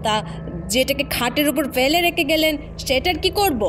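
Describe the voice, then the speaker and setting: A woman acting a weary, hard-hearted matron in an audio horror story, exasperated and sharp-tongued. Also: sits high, moderate pace, background noise, lightly acted. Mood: annoyed.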